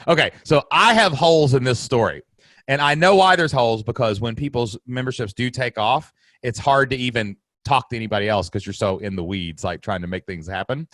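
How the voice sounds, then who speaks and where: A man with a Southern American accent hosting an interview podcast in an animated, emphatic way and laughing often. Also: medium pitch, brisk, no echo. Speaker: podcast host. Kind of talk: casual interview chat.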